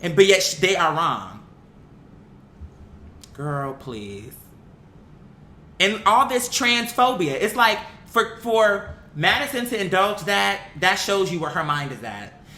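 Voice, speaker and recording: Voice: lively intonation, slow, long pauses, pushed and bright. A young adult commentator giving a blunt, exasperated opinion rant in casual, sweary language, repeating phrases for emphasis. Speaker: online commentator. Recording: echoey room with faint rumbling noise.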